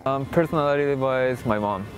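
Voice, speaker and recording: A man's voice: mid-range voice, normal speed, rough recording. A young man from Honduras speaking hesitantly, with many fillers. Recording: music playing behind.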